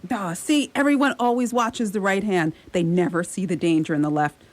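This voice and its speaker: A woman playing an excitable onlooker in a radio play, chattering and stammering through a running commentary. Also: mid-range voice, normal speed, lightly acted. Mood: excited commentary. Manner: chattering, stammering, astonished asides. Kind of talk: radio theatre acting.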